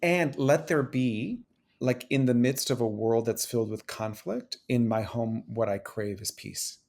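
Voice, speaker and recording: Guy with a gravelly voice, chatty and animated, keeps repeating himself. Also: mid-range voice, measured pace. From Cuban-American. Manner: animated, repeats phrases and restarts often. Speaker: guest. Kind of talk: casual conversation. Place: New York City.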